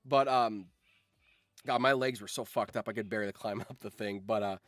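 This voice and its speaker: A man's voice: fairly low pitch, normal speed, a bit bright, no room sound, clean studio sound. A man chatting casually on a livestream, telling anecdotes in an informal, sweary, enthusiastic fan's way. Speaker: streamer and voice actor.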